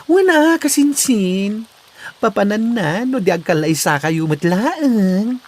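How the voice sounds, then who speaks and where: A man acts out an encouraging cousin with an Ilocano accent in a comedy drama. He is genial and animated, drawing out phrases with a widely varying pitch. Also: takes it slowly, heavily acted. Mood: amused, welcoming. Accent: Ilocano Filipino. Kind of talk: amateur comedy drama acting.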